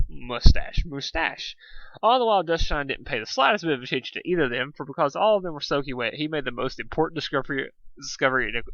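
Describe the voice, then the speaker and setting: A man's voice: medium pitch, bright, forceful tone, no room sound, muffled recording. A man reading fan fiction aloud in an informal storybook style, stumbling over words and repeating phrases as he goes. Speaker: amateur fan fiction reader. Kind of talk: informal storybook narration with asides.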